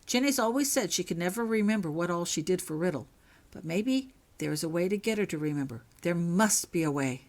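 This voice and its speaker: A woman's voice: fairly low pitch, expressive, a little fast, natural voice. Woman reading as an earnest young male wizard. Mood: urgent.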